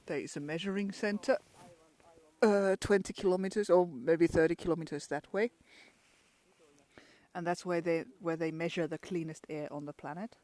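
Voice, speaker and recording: A woman's voice: fairly low pitch, fairly even intonation, moderate pace, warm tone. A woman from Finland with a Finnish accent, chatting casually outdoors in a pine forest for a travel vlog and laughing often between restarts. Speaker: travel vlogger.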